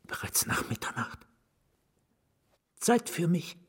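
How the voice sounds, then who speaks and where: Haunted male patient, husky, higher-pitched, wistful, confiding, fragmented phrases, radio drama. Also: big pitch swings, slow, whispered, heavily acted. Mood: wistful, resigned.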